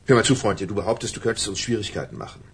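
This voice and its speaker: Very raspy male voice, lively intonation, tough sardonic journalist, radio crime drama. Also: fairly low pitch, racing, full character voice. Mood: threatening. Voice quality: husky.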